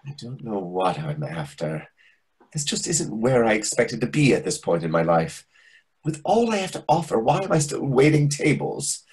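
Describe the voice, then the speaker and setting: Waiter for a play reading: needy complainer, husky, highly animated delivery. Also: male voice, moderate pace, exaggerated voice. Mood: complaining. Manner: confessional, complaining. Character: self-pitying, needy, chatty male waiter stuck in depression. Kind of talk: readers theatre over video call. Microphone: video call.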